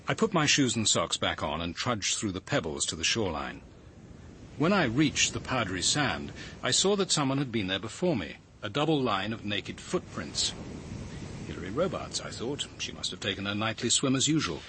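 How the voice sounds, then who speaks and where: An actor playing a grave, reflective detective, narrating in a measured, literary way in a radio drama. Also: male voice, lowish voice, very animated, pushed and bright, a little hiss or hum. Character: grave, reflective male police detective recounting his evening.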